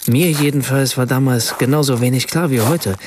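An actor playing a curious, sceptical writer, narrating a radio play in a measured, reflective, literary way. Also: male voice, mid-range voice, background noise, close to an everyday voice. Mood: puzzled, reflective.

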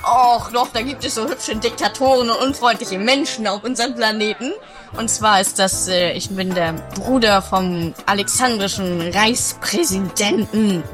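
Guy doing a grumpy guru in a radio comedy, dry and halting, grumbles his opinions, music behind. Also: sits high, lightly acted. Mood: halting, self-important. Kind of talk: amateur comedy radio play acting.

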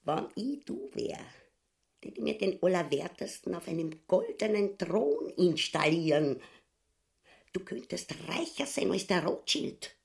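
An actress with a Viennese accent playing a scheming, coarse wife in a satirical radio play, scornful and wheedling in a theatrical way. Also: female voice, on the low side, sing-song, creaky, full character voice. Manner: scornful, wheedling, drawn-out mockery. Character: scheming, nagging, coarse, ambitious wife goading her husband.

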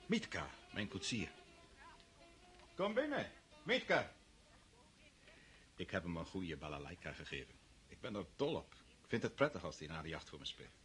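An actor playing a jovial old Russian country uncle, rustic and hearty, in a radio drama. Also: male voice, sits low, wildly swooping pitch, normal speed, long pauses, a bit bright, faint music behind, heavily acted. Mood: mellow, contented.

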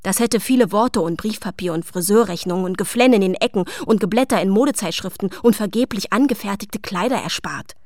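A quietly observant, slighted young woman tells a radio play, acted by a woman. Her mid-pitched voice is wistful and intimate. She speaks in a measured way, leaving deliberate pauses. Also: no echo, clean studio sound, close to an everyday voice. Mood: rueful, sardonic. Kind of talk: radio play narration and dialogue.

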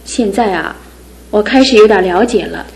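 An actress playing a lively young woman, the groom's younger sister, speaking in a bright, teasing way. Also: medium pitch, normal speed, vocal fry, natural voice, low-quality recording. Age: young adult. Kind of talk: 1970s radio drama acting.

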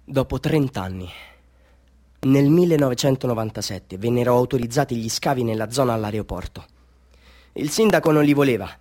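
An actor playing a bitter, half-mad village man telling his tale in a theatrical radio drama monologue, swinging between hushed confiding and fervent declaiming. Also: mid-range voice, animated, fairly quick, lightly acted. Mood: indignant, challenging.

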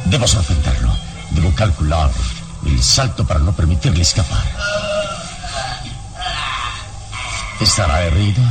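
An actor playing a brave, calm, protective adventure hero in a vintage radio serial, with a solemn, deliberate delivery. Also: male voice, low-pitched. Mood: tense, watchful. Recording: radio serial, distant, music behind.

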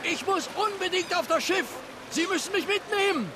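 An actor in a German radio play playing a desperate, pushy British police detective, urgent and insistent. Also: male voice, extremely high pitch, expressive, normal speed. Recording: loud vehicle noise, harbour scene effects.

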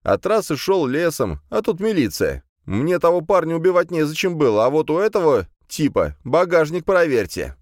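A man voicing an agitated, scheming male bluffer who protests in a loud, whining manner for an audiobook. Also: a little high, sing-song, moderate pace, hardly any pauses, no room sound, clean studio sound, pitched above the performer's own voice. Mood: indignant, agitated.

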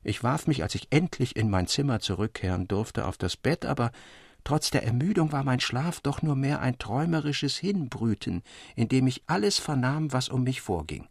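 Romantic young dreamer for literary radio drama: fervent, old-fashioned delivery, husky voice, dry close studio sound. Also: male voice, medium pitch, animated, normal speed, dry recording. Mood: reflective. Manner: fervent, rapturous, formal old-fashioned storytelling. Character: romantic young man fervently recounting a dreamlike infatuation to his friend.

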